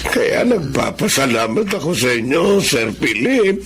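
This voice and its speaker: An actor with a Filipino accent playing a humble, poor older father, halting and emotional with gratitude, in a radio drama. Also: mid-range voice, very animated, a bit bright. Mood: grateful, moved.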